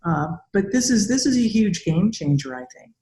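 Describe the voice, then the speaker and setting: A woman's voice: mid-range voice, animated, moderate pace, warm tone. An older woman hosting a conversational podcast interview as a robotics researcher. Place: indoor room with bare walls. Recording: echoey room on video call.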